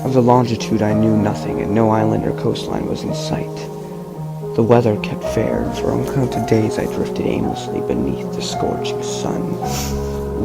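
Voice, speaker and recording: A young man reading a horror story aloud in a slow, measured first-person voice, stumbling now and then over long words. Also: fairly low pitch, gravelly. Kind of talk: amateur audiobook reading. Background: loud background music.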